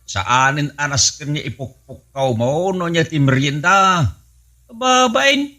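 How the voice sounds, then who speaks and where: A man's voice: on the high side, lively intonation, a little slow, pushed and bright. A man playing an embarrassed husband in an Ilocano radio drama, scolding his wife. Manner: scolding, flustered. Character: embarrassed husband Bogs, middle-aged.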